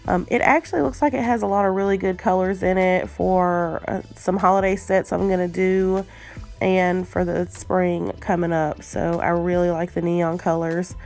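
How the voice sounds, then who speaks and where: Casual vlogger, female, stuffy cold voice, gravelly, lots of ums, faint music behind. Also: fairly low pitch, moderate pace. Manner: chatty, frequent ums, relaxed. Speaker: nail art vlogger. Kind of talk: casual unboxing chat.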